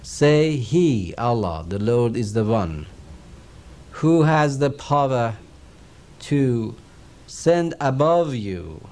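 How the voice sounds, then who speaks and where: A middle-aged man with a Persian accent teaches and explains scripture in a slow, measured, reverent manner. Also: medium pitch, lively intonation, a little mellow. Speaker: Quran teacher. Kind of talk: recorded religious lecture.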